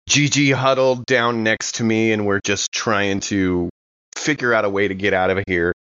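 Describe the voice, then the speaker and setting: A man's voice: on the low side, steady tone, unhurried, pushed and bright, clean tone, no room sound, lo-fi sound. A man playing a tabletop game, casually roleplaying and describing his character's actions.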